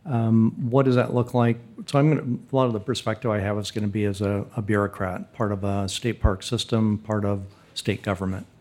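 Low, mellow, even-toned man on a panel. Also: fairly quick, hardly any pauses. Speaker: panelist, state park official. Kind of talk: unscripted panel talk.

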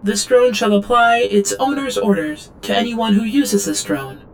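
A woman's voice: mid-range voice, lively intonation, unhurried, slight background noise. A woman playing an obedient female servant drone in an audio drama, reporting in a stilted, clinical third-person manner. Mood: clinical, detached. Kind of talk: scripted audio drama monologue.

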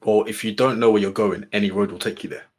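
A man's voice: lowish voice, fairly even intonation, quick pace. A man in a casual group discussion, making punchy points with sayings and closing them with "full stop". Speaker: panel guest.

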